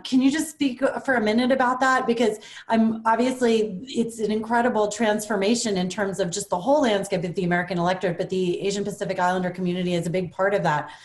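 A woman in her forties moderating a panel on a video call from a home room, asking long enthusiastic questions. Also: mid-range voice. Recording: echoey room.

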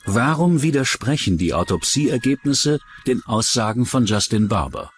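A man's voice: medium pitch, sing-song, moderate pace. A man reading scripted true-crime narration as a documentary narrator. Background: music.

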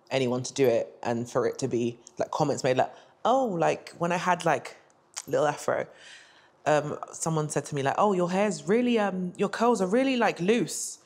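A woman's voice: a bass voice, a little mellow. Candid young woman, a panel guest. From Britain. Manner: candid, quotes other people in a raised voice, laughs easily. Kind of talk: casual panel discussion. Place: bright studio set.

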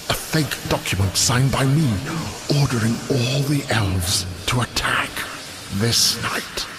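An actor playing a solemn, earnest elf envoy in a video game, with formal, measured phrasing. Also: male voice, medium pitch, noisy background, close to an everyday voice. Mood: grave, urgent.

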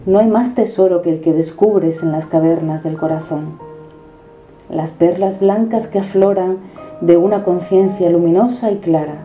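A woman declaiming poetic prose in a solemn, measured recitation. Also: fairly low pitch. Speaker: poetry reciter. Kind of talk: declaimed spoken-word poetry. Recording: dull reverberant recording over music. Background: background music.

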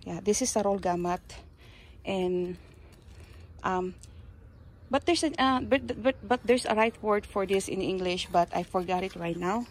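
A woman with a Filipino accent, Kapampangan by birth, casually showing her backyard garden and tools, pausing and searching for words. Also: mid-range voice, a little hiss or hum. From Philippines. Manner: slow, halting, searches for words. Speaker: gardening vlogger. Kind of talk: casual show-and-tell. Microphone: handheld phone, speaker off camera.

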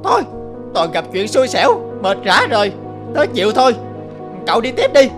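Grumpy young monkey played by a woman, whining and peevish, animated cartoon delivery over music. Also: a little high, moderate pace. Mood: weary, whining. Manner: complaining, peevish. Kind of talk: cartoon folk tale dub.

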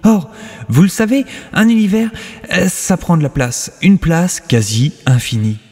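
A man's voice: sits high, big pitch swings, a little slow, a little mellow, big-room sound. A man playing a weary, grumbling narrator of cosmic lore in a science fiction audio drama, lecturing and dropping into colloquial asides. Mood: didactic. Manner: didactic, with peevish colloquial asides. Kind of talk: mock-documentary narration in an audio sci-fi series.

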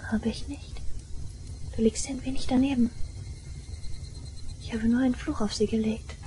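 A woman playing an obsessive, vengeful young shrine maiden in a German audio drama, eerily tender, with breathy whispers and slow menace. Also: medium pitch, darkish tone, a little hiss or hum, close to an everyday voice, low-quality recording.

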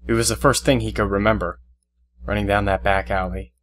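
Low-voiced male story narrator. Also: flat delivery, a little fast, fairly bright tone, a little hiss or hum. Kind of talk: scripted dramatic story narration.

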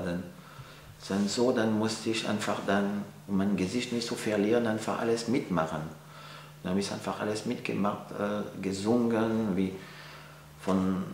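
A man in his forties from France, speaking German with a French accent, answering interview questions in a gallery with many fillers and restarts. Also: fairly low pitch. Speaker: interviewee, painter. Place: art gallery, indoors. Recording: echoey room, faint hum.

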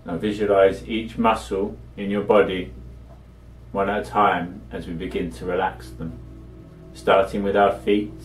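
A man leading a guided meditation with measured, soothing instructions and long pauses, recorded echoey and distant from the microphone. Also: deep voice.

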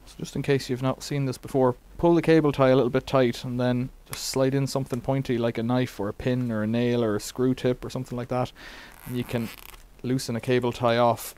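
Middle-aged man, relaxed and rambling, wry asides, cluttered bike workshop, camera held close. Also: medium pitch, fairly quick, few pauses. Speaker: bike repair vlogger. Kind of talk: casual how-to demonstration.

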